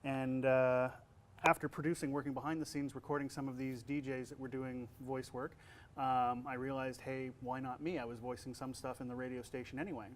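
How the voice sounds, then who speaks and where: A man who works as a voice actor and college instructor, answering interview questions in a relaxed way, with a young-sounding voice and many fillers and restarts. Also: mid-range voice, a little fast, a little hiss or hum.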